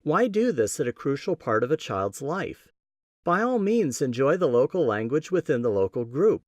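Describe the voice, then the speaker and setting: A man with a Midwestern American accent hosting a podcast, giving a scripted teaching talk in a measured, explanatory way with emphatic stresses. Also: medium pitch, very animated, a little mellow, no room sound. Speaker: podcast host.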